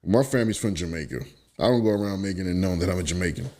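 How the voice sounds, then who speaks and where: Animated Bronx man telling stories. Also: low voice, racing. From the Bronx, New York. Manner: animated storytelling, acts out quoted voices. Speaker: podcast host. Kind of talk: casual podcast chat.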